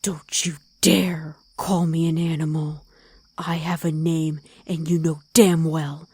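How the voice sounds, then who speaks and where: Wolf girl played by a woman: guarded, commanding, dark mellow tone, husky edge, ASMR roleplay. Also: low-pitched, level tone, takes it slowly, dry recording, heavily acted. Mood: angry, demanding. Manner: blunt, commanding, gruffly teasing. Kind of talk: ASMR roleplay audio drama.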